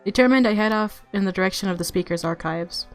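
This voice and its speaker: A woman's voice: mid-range voice, fast talker. Woman narrating a visual novel over music. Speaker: gamer reading a visual novel aloud. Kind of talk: expressive story narration with playful reactions. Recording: background music under voice.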